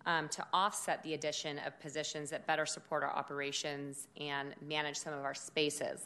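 Low-voiced woman presenting a budget. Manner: loud, steady speaking voice; measured, informative delivery with occasional fillers. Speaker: city department director. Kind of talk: formal budget presentation at a public meeting.

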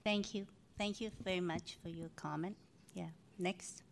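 Lady running a board meeting, really low voice, lots of ums, bit of hiss. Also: fairly even intonation, unhurried, creaky. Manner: hesitant, many fillers. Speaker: board chair. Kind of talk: chairing a public meeting.

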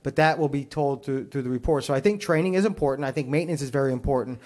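A man speaking as a fire chief at a public meeting, answering questions in a measured, formal, explanatory way. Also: mid-range voice, darkish tone.

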